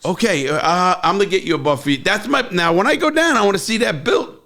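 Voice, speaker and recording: A man's voice: sits high, lively intonation, a little fast, bright, forceful tone. A man from New York with a New York accent, hosting a casual podcast chat, who cuts in, ribs his guest and laughs. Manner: interrupting, ribbing and laughing. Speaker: podcast host.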